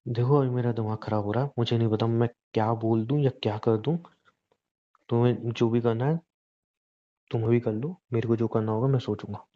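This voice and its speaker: A man's voice: mid-range voice, steady tone, a little fast, lightly acted. A young man playing a hurt, angry boyfriend in an acted phone conversation, pleading and mixing English phrases into his speech. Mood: angry, brooding. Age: young adult. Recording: dull, lo-fi phone call recording.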